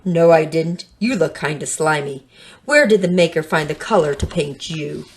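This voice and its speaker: A woman's voice: low voice, normal speed. A woman voicing a sly, scornful serpent who coaxes and taunts in a children's story. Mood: mocking. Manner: taunting, coaxing.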